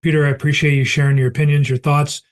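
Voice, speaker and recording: A middle-aged man hosting a podcast and wrapping up the episode in a chatty way, clearing his throat because he is losing his voice. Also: on the high side, little pitch movement, fast. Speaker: podcast host. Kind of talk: casual podcast outro.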